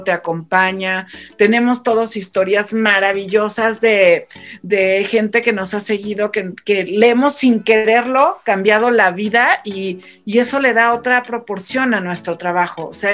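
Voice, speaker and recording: A woman's voice: a little high, a bit bright. A woman with a Mexican accent talking effusively and emphatically as a guest in a conversational radio panel.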